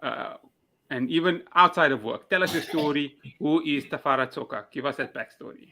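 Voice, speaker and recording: A man's voice: a little high, moderate pace, pushed and bright. A man hosting a live-streamed interview show, reading an upbeat welcome and asking his guest questions. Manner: upbeat, scripted call to action. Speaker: show host. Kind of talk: podcast host intro and questions.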